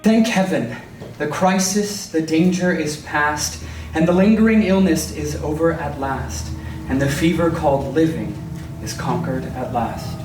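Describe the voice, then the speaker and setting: A man giving a dramatic poetry recitation in measured, theatrical phrases. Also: on the high side, darkish tone. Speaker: poetry performer. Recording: echoey, distant voice under clear music.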